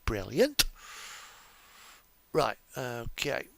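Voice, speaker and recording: A man's voice: mid-range voice, huge pitch swings, very slow, gravelly, a bit bright. A man giving casual, unscripted game commentary as a livestream host, thinking aloud and muttering to himself hesitantly.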